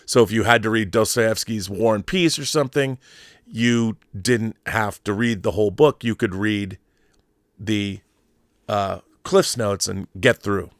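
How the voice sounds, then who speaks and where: A man's voice: on the low side, a little slow, no echo. Emphatic, rambling American man, dry close sound. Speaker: show host. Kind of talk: opinionated commentary, casual monologue.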